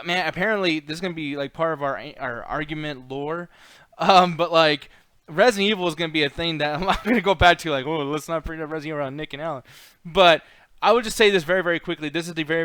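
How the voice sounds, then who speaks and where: Guy hosting a chatty countdown show, kinda high clean voice, swears a lot, dry close sound. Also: a bit bright, smooth, dry recording. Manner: rambling, slangy, swears freely. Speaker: host of a movie countdown show. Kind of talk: casual chat, profane opinionated commentary.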